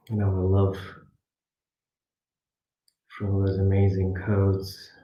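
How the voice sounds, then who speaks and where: A man leading a guided meditation as a class teacher, speaking soothingly in short phrases with pauses between them. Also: low voice, mellow, a little hiss or hum. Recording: muffled echoey room, distant from mic.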